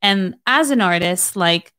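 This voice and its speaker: A woman's voice: medium pitch, lively intonation, a little slow, fairly bright tone, clear, resonant tone. Chatty woman in her late twenties. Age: 20s. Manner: chatty, filler-heavy, laughs easily. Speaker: guest singer-songwriter. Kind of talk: casual podcast chat.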